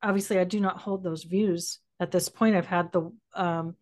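Lower-pitched American woman, thinking aloud. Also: fairly even intonation, normal speed, a little mellow. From Texas, United States. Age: middle-aged. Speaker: podcast host. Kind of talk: reflective conversational discussion.

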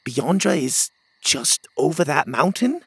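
A man's voice: a little high, big pitch swings, slow pace, a bit bright, husky, no room sound, voice pushed up, full character voice. A man voicing an eager, earnest young boy hero in a storybook reading, with a raised, boyish voice. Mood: wistful. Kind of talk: storybook character voice.

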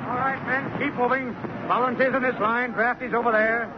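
A man playing a brisk, genial army recruiter who calls out orders in a 1940s radio comedy. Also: very high-pitched, steady tone. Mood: brisk, barking. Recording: muffled, noisy old radio broadcast.

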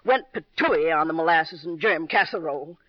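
A man's voice: fairly high pitch, moderate pace, no room sound, natural voice. A man voicing a pushy, sentimental, gray-haired woman who runs a health-food counter, with emphatic patter in an old radio drama. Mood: mournful, blunt. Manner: pushy sales patter, emphatic, fragmentary sentences. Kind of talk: 1950s radio drama acting. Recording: muffled old radio recording.

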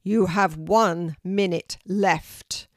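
A woman voicing a loud, commanding magical oak tree that acts as a teacher in a children's story. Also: fairly low pitch, fairly even intonation, extremely slow, no echo, studio quality. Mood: stern. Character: booming magical oak tree teacher, stern but kind.

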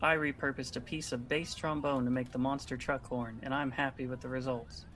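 An earnest monster truck owner played by a man speaks in a flat, mid-pitched voice. He is deadpan and a little formal, in comedy-sketch style. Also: fairly quick, slight background noise, close to an everyday voice.

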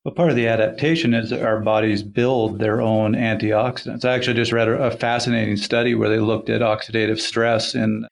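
A man's voice: lowish voice, little pitch movement, fairly quick, creaky, few pauses. A man in a podcast discussion, agreeably citing research.

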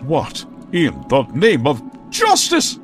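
An actor playing a pompous, theatrical vigilante superhero in old radio serial style, declaiming grandly and easily outraged. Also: male voice, on the high side, wildly swooping pitch, takes it slowly, faint music behind, exaggerated voice. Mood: indignant. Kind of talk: 1940s radio serial acting.

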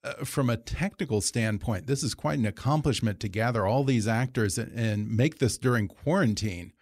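Male host, American, mid-pitched voice, dry sound, conversational interviewing style. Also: normal speed, dry recording.

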